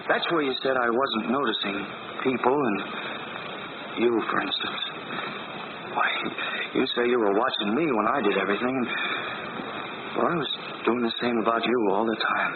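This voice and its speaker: An actor playing a shy, earnest small-town teenage boy in love in a 1940s radio drama, halting and sincere. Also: medium pitch, lightly acted. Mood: confiding, sincere. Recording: very noisy, narrow-band 1940s radio recording.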